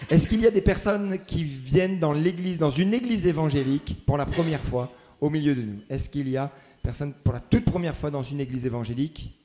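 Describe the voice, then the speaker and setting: A man leading a church service, giving warm welcomes, announcements and prayers with a hesitant, pausing delivery. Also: on the high side, animated, a little hiss or hum. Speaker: church leader welcoming the congregation.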